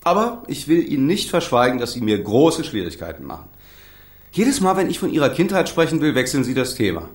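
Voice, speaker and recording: A man's voice: mid-range voice, very animated, fairly quick, echoey room, natural voice. A man acting an embittered, combative young defence lawyer in a radio crime drama, indignant and emphatic. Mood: brisk, coaxing.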